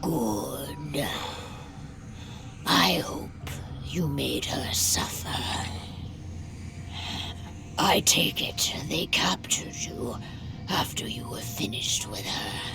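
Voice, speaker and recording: Captive werewolf matriarch for a game: deep, very slow, breathy and gravelly, proud and vengeful, music underneath. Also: female voice, expressive, in a whisper, exaggerated voice. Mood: bitter. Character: proud, vengeful female werewolf tribe leader held captive. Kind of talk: dramatic video game acting. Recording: music behind the voice.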